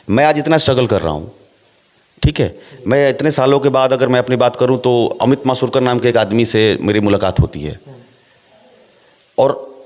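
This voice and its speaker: Middle-aged actor explaining at length. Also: male voice, mid-range voice, darkish tone. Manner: explains at length with rhetorical questions. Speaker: actor, interview guest. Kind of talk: relaxed interview talk.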